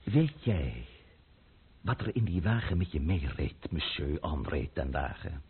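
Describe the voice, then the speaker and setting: Husky, imperious French count. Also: male voice, rumbling low, big pitch swings, unhurried, heavily acted. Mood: grave, urgent. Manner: haughty, lecturing, blustering. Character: imperious, scheming French count and royalist intriguer, impatient, sardonic. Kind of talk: radio play acting. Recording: muffled, narrow-band old radio recording.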